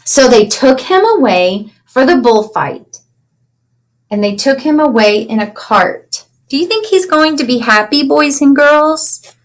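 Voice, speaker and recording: A woman reading a picture book aloud to children in an animated storytelling way, pausing to ask them simple questions. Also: high-pitched. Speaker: children's read-aloud teacher.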